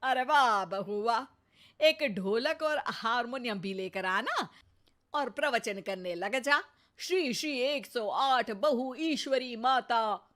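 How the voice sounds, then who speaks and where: A woman's voice: on the high side, expressive, takes it slowly, a bit bright. A woman voicing a sulky older mother-in-law in a cartoon story, sarcastic and theatrical.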